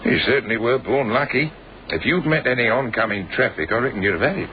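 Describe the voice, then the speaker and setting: An actor playing a laconic, matter-of-fact garage mechanic in a radio drama. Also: male voice, mid-range voice, lively intonation, fast talker, close to an everyday voice. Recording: noisy, muffled radio drama recording.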